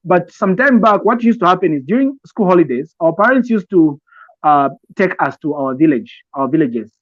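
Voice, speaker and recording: A man from Zambia with a Zambian accent, giving conversational advice as a podcast co-host, explaining deliberately and haltingly with repeated phrases. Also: high-pitched, big pitch swings, dry recording, muffled recording. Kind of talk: conversational advice talk with personal examples.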